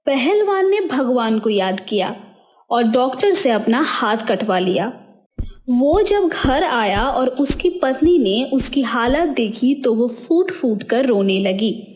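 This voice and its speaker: Slow expressive woman storyteller. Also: fairly high pitch. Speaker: narrator. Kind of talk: storybook narration.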